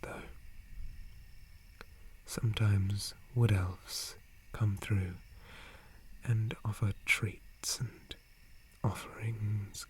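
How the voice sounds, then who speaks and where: A man voicing a gentle, polite dryad guardian in a breathy, formal whisper for an ASMR roleplay. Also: fairly low pitch, exaggerated voice. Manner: formal, courteous, hesitant, softly hushed. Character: gentle, polite male dryad, forest guardian tree spirit.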